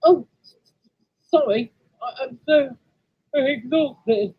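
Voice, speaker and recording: A weary storybook train engine, acted out by a man, stammers apologetically in a high, husky voice. He sounds drowsy and worn out, on slightly muffled audio. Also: takes it slowly, no room sound, full character voice. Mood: flustered, exhausted. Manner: stammering, sleepy. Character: exhausted, drowsy, apologetic young diesel engine.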